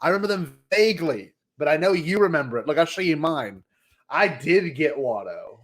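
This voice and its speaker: A man co-hosting a fan podcast with casual, playful, excitable banter, often repeating his phrases. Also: fairly high pitch, moderate pace. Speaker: podcast co-host.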